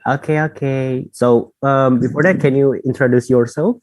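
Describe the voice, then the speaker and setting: A man's voice: medium pitch, fairly even intonation, moderate pace, darkish tone, no echo. Young male student co-host, casual, video call, dry close sound. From Indonesia. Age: young adult. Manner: casual, repeats "okay, okay". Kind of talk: online event hosting.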